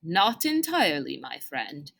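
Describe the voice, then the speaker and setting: A woman storyteller plays an older gentleman mentor from a children's storybook, kindly and formal. The phrasing is deliberate and old-fashioned, with a lively, varied melody. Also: fairly high pitch, creaky, pitched below the performer's own voice, exaggerated voice. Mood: earnest explaining.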